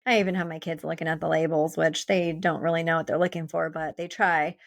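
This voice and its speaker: A woman with a slightly low voice speaks as a nurse on a health show. She gives practical advice in a casual, conversational style, as one co-host chatting with another. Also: little pitch movement, fairly quick, creaky. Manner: informal, conversational.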